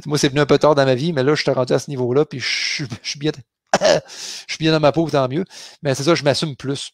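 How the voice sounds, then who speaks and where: A man's voice: a little high, fairly even intonation, fast, vocal fry, dry recording, studio quality. A man in his forties with a Québécois accent from the Beauce, chatting casually in a live Q&A from his home office on a headset mic, with many fillers and restarts. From Quebec. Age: 40s. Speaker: author hosting a live Q&A.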